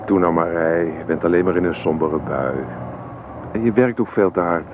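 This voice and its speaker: An actor playing a restless, stubborn young student husband from a farming family in a radio play, sounding insistent and self-justifying. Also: male voice, low voice, moderate pace, natural voice. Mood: coaxing, tender. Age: young adult. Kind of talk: Dutch radio play acting. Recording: noisy, thin, phone-like old radio recording.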